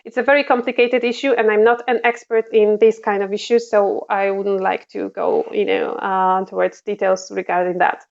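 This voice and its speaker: A woman's voice: medium pitch, level tone, moderate pace, gravelly, few pauses, lo-fi sound. A woman diplomat with a Polish accent answering interview questions, with many fillers and restarts. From Poland. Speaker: diplomat guest.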